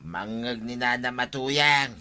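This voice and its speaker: A man's voice: medium pitch, slow, pushed and bright, clear, resonant tone. A man with an Ilocano accent playing a boastful, tipsy drinking buddy in a village comedy drama.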